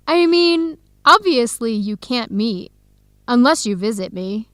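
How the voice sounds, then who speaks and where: An actress playing a warm-hearted young woman in an educational radio drama, expressive and conversational. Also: high-pitched, slow, dry recording, studio quality, full character voice. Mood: friendly, teasing. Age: young adult. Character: Helen, warm-hearted young woman, defensive about her boyfriend.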